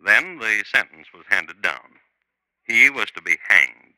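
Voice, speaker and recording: A man playing a wry, dryly ironic crime storyteller, deliberate and theatrical, narrating in 1950s radio style. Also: fairly low pitch, animated, sharp tone, no room sound, exaggerated voice, rough recording. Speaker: host and narrator. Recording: vintage 1950s radio recording.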